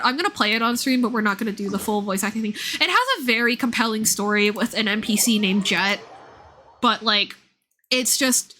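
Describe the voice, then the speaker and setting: A woman's voice: medium pitch, sharp tone. A woman game streamer chatting casually and commenting as she plays, informal and meandering as she thinks aloud. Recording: music playing behind the voice. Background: background music.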